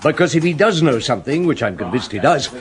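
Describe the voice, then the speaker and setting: A man's voice: a little high, very animated, quick pace. Male, a methodical chief inspector for radio crime drama; authoritative, probing, widely varied intonation. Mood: brisk, commanding. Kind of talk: radio detective drama acting.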